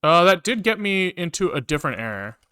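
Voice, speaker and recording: Bright, clear-voiced man thinking aloud. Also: sits high, big pitch swings. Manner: muttering to himself, halting, trailing off and questioning himself. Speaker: live-coding streamer, programmer. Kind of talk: casual thinking aloud while programming on a livestream.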